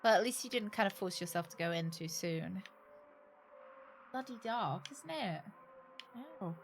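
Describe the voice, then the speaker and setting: A woman's voice: mid-range voice, expressive, unhurried, slight background noise. A British woman livestreaming a game, chatting casually and jokily with sarcastic asides and some swearing. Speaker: game streamer. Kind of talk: casual livestream chat while playing a game.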